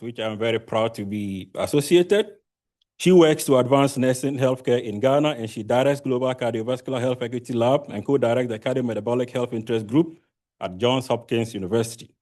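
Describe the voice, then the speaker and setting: A man's voice: medium pitch, a little mellow. A man host reading a formal introduction of a guest speaker.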